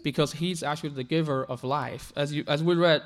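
A man's voice: a little high, steady tone, fairly quick. A man from China, whose first language is Chinese, preaching a sermon earnestly, often restarting and repeating words. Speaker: pastor.

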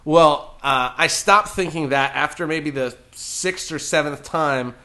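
Bright-voiced man telling a story. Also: fairly high pitch, unhurried. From New Jersey. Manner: animated storyteller who acts out quoted voices. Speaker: podcast guest. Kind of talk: casual chat, storytelling.

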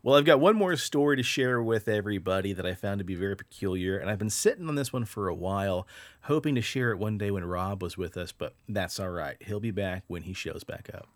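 Animated male podcast storyteller. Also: fairly low pitch, brisk, no room sound. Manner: animated storyteller, laughs often. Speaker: podcast host. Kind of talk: casual storytelling podcast chat.

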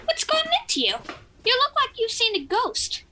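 A woman's voice: extremely high pitch, huge pitch swings, moderate pace, sharp tone, a little hiss or hum, heavily acted. An actress playing a fussy, chatty, eccentric lady boss in an audio drama, with prim, theatrical delivery. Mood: chatty, oblivious.

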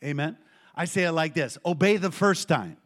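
A middle-aged man preaching to a congregation in a church, telling a personal story with lively call-and-response. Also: fairly high pitch, normal speed. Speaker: pastor.

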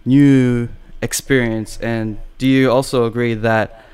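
A man hosts a casual podcast in a smooth, resonant mid-pitched voice. He rambles from thought to thought and keeps the chat light with jokes. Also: little pitch movement, slow pace, a little mellow. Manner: joking, rambling, laughs often. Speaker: podcast host.

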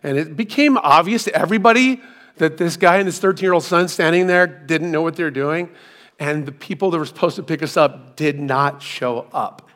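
A middle-aged man preaches with a hoarse, worn voice that is still healing, pitched a little above the usual for men. He tells his story in an easy, self-deprecating way, slipping in asides to the congregation. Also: fairly quick, fairly bright tone. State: hoarse, recovering from lost voice. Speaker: pastor. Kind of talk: sermon. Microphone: hands-free microphone.

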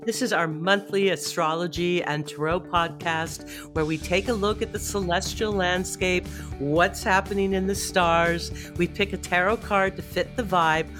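A woman co-hosting an astrology podcast in casual chat, a bit stuffy from a head cold, upbeat and quick to laugh. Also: fairly low pitch, fairly even intonation, music in the background. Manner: chatty, upbeat, laughs often. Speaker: podcast co-host.

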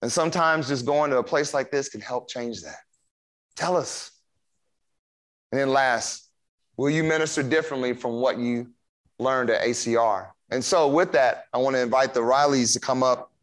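Brief: mid-pitched man leading church service, earnest and exhorting. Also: normal speed.

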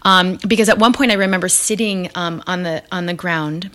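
A woman's voice: lowish voice, moderate pace, sharp tone. A woman interviewer reflecting thoughtfully on shared memories, with frequent hesitations. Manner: thoughtful, many ums, reminiscing. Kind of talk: warm reflective conversation.

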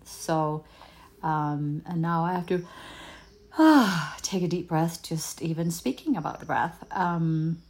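A woman whose first language is Danish, giving a gentle, unhurried talk as a healing teacher, often repeating words as she searches for them. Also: deep voice. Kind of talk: reflective spoken talk.

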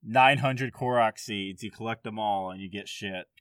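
A man's voice: fairly low pitch, normal speed, fairly bright tone, smooth, no echo. A man in casual game chat, blunt and sweary, often asking questions. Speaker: podcast speaker.

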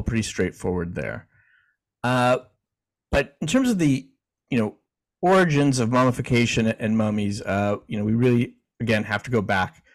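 Guy cohosting a podcast, easygoing, chuckles a lot, middle pitch. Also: rough recording. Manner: easygoing, chuckling, frequent restarts. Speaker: podcast co-host. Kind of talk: casual chat, reading definitions aloud.

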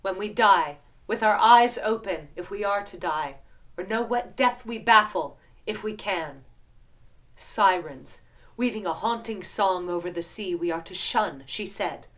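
A woman's voice: medium pitch, fairly bright tone, natural voice. Odysseus, grave sea captain voiced by a woman. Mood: grave, confiding. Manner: grave, deliberate, poetic. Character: Odysseus, grave male sea captain addressing his crew. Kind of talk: audio drama verse recitation.

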